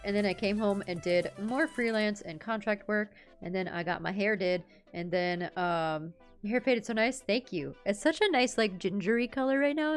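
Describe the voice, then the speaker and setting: A woman in her twenties chatting casually with her live chat, rambling and laughing often. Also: mid-range voice. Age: 20s. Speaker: streamer.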